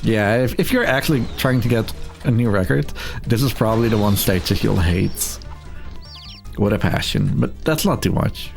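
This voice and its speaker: A man's voice: fairly low pitch, moderate pace, creaky, a little mellow, faint music behind. A man giving casual live commentary as he speedruns a video game. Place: live gaming marathon stream, game music behind.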